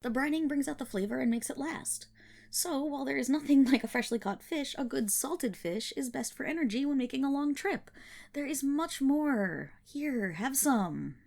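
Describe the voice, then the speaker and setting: A woman playing an affectionate, teasing selkie in an ASMR roleplay, speaking softly and breathily with a lilt and fond scolding. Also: high voice, expressive, normal speed, gravelly. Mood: fondly teasing.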